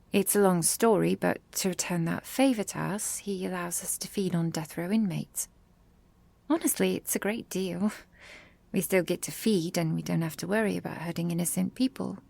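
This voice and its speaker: A woman voicing a gentle, protective vampire girlfriend in an intimate ASMR roleplay, tender and slightly formal, often dropping to a breathy whisper. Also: lowish voice, no room sound.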